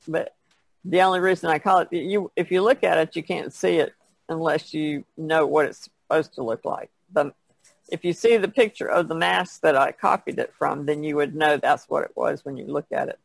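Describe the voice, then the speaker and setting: A man's voice: on the high side, vocal fry, no room sound, rough recording. A man chatting casually as a podcast panelist, explaining things in a plain-spoken way. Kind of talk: casual chat.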